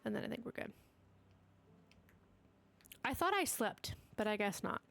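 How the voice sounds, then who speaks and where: A woman's voice: fairly high pitch, big pitch swings, unhurried, vocal fry, a bit bright, faint music behind. A young woman streaming a game, stuffy and sniffly from allergies, chatting to herself and her dog as she builds. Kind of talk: casual gameplay commentary.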